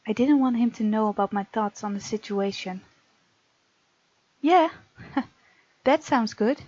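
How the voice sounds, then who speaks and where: A woman's voice: a little high, slow, darkish tone, no room sound, highs cut off. A woman hosting a visual novel let's play, reading the game text aloud expressively and adding chatty reactions. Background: game music.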